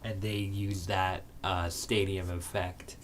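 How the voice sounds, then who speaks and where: A man with a fairly low voice chats casually over a card game, explaining rules in halting bursts full of fillers. There is a faint hum of room ambience behind him. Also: level tone. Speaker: stream co-host. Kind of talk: casual chat while playing a card game. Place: game store.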